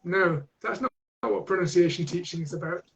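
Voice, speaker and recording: A man with a Manchester accent answers questions as a guest teacher trainer, pausing often and restarting his sentences as he thinks. His voice sits unusually high for a man. It comes through the dull, lo-fi sound of an online call. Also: gravelly, fairly bright tone. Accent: Manchester English. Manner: thoughtful, hesitant with many restarts.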